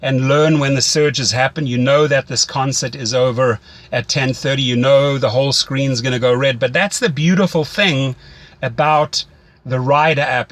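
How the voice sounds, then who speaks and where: A man's voice: mid-range voice, fairly bright tone, no echo. A man mentoring a driver in a conversational, instructive way, often repeating phrases for emphasis. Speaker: rideshare driving coach.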